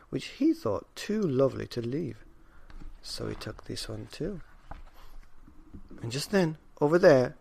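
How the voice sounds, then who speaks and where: Male bedtime storyteller: unhurried pace, very lively intonation, dramatic emphasis on key words. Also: medium pitch, creaky, dry recording. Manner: dramatic emphasis, repeats in rhythm. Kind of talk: expressive children's bedtime story narration.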